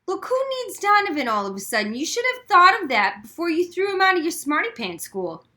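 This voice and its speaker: A snide teenage bully of a boy, played by a woman narrator, mocking and quick to take offence. The voice is bright and pushed, in storybook manner. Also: very high-pitched, sing-song, pitched above the performer's own voice, heavily acted. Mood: sneering.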